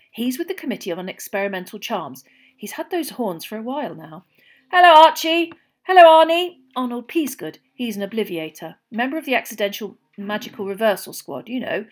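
A woman's voice: mid-range voice, breathy edge. Woman playing a kindly, enthusiastic middle-aged father, friendly chatter. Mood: chatty.

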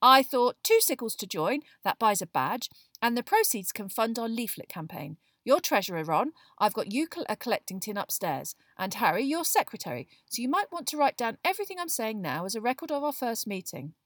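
A woman voicing an earnest, bossy teenage schoolgirl in audiobook dialogue, with a brisk, insistent lecturing manner. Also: on the high side, very animated, fairly bright tone, no room sound, studio quality, voice pushed up. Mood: happy, bossy.

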